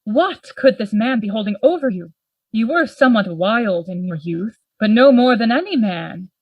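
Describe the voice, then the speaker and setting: A woman playing a grave, worried gentleman lawyer in a Gothic radio play, formal and earnest in manner. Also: mid-range voice, lively intonation, moderate pace, no room sound, muffled recording, close to an everyday voice. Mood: troubled, resolute.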